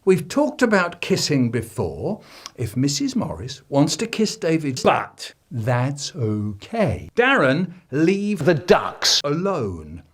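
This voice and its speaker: A man's voice: a little high, huge pitch swings, slow, a bit bright, husky, heavily acted. A man voicing a stern, prim woman teacher scolding a class.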